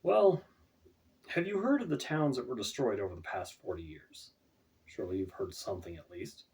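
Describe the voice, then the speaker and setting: Guy doing reluctant dark sorcerer killer for a fantasy audiobook, halting n uneasy, a bit off mic. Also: on the low side, recorded from a distance, lightly acted. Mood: explaining. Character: conflicted male dark-magic killer, hesitant and reluctant.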